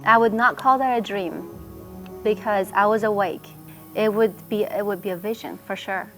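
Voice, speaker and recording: A woman's voice: medium pitch, steady tone, moderate pace, a bit bright. A young woman from Pakistan giving an earnest, reflective personal testimony. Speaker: testimony speaker. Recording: music bed underneath.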